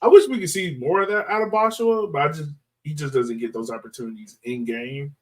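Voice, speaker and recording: A man hosting a casual soccer podcast, talking in a halting, hesitant way. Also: a little high, distant mic. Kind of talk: casual sports chat.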